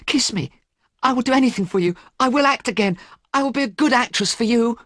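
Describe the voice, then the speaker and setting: A man voicing a lovesick young actress in a storybook reading, pitching his voice up to plead with her lover. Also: fairly quick, a bit bright, no room sound, clean studio sound. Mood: desperate pleading.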